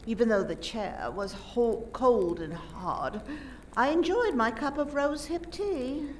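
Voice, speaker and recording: A flustered, clumsy lady, acted by a woman in a radio drama, speaks in a very high, plaintive voice. She draws her words out slowly and haltingly, with theatrical feeling, over a faint background noise. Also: expressive. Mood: earnest recounting. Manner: plaintive, drawn-out, halting self-corrections. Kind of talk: community theater radio play acting.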